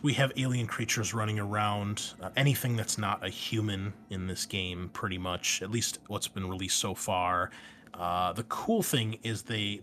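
A man hosting as game master, explaining tabletop game rules in a casual, conversational way. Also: fairly low pitch. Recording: clear steady background noise.

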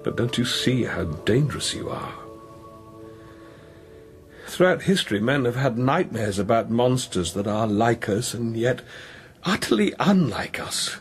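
A man in a radio drama playing a weary, guilt-ridden priest, anguished and searching, with an intense, halting, brooding delivery. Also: mid-range voice, very animated, soft background music. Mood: fearful, intense.

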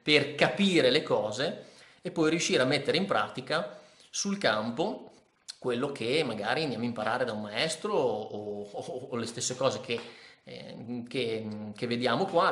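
A man's voice: medium pitch, moderate pace, fairly bright tone, a little hiss or hum. A man in his thirties giving a casual book review to camera in his living room, hesitant with many fillers and restarts. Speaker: ski vlogger reviewing a book. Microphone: camera in front of face. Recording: echoey room.

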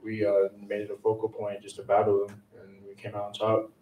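A young man, a college basketball player answering press questions in a calm, plain way. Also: lowish voice, brisk, phone-quality sound. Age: young adult. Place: press conference room. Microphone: table mic at a distance. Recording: echoey room, distant mic, faint hum.

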